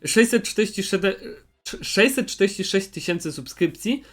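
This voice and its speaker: A young man with a noticeably high voice talks as a YouTuber making recommendations. His delivery is casual and unscripted. He hesitates, rambles and often circles back to repeat what he has said. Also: animated, normal speed. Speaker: YouTuber presenting recommended channels.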